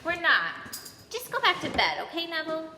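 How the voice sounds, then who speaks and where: A woman reading the part of a clever, bossy but caring schoolgirl in a storybook, with a brisk manner. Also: very high-pitched, huge pitch swings, voice pushed up, exaggerated voice. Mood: flustered. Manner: brisk, self-assured. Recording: echoey hall, background noise.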